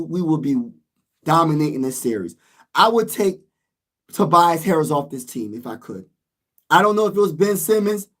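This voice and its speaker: A man losing his voice, ranting emphatically as a sports vlogger in a casual, frustrated way. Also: high voice.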